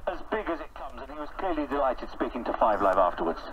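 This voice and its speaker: Male broadcast talker, medium pitch, heard lo-fi through a small radio with babble behind. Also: animated, fast talker, a crowd in the background. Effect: transistor radio speaker. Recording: dull radio playback with background babble.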